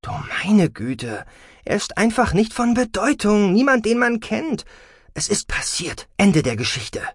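Guy doing a stern snappy mum in an audiobook, voice pushed higher, exasperated and guarded. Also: very animated, a little slow, slightly rough, no room sound, clean studio sound, heavily acted. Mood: irritated, dismissive. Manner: curt, exasperated, evasive. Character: stern, guarded, irritable mother of a teenage girl. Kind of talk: dramatic audiobook character acting.

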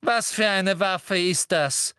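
A man's voice: high-pitched, takes it slowly, sharp tone, clear, resonant tone, dry recording, clean studio sound, voice pushed up, full character voice. A man voicing a bossy, greedy female ministry official in an audiobook, brusque, eager and biting in manner. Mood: excited, demanding.

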